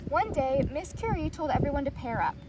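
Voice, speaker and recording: Distant noisy recording, woman, high pitched, expressive children's read-aloud, storytelling narration. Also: a little fast. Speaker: teacher reading a picture book aloud. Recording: distant mic, loud outdoor ambient noise.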